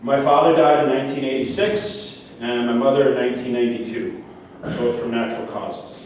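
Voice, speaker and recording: An actor in a staged play reading, playing a delusional, defensive murder defendant who speaks earnestly and insistently, repeating words haltingly. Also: male voice, mid-range voice, lightly acted. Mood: grave, fervent. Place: staged reading in an echoey room. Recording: echoey room, distant mic, faint noise.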